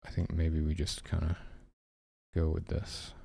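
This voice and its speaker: Male indie developer, very low-pitched and gravelly, casual musing delivery, second-guesses himself while working. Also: monotone, measured pace, warm tone. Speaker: indie game developer streaming his work.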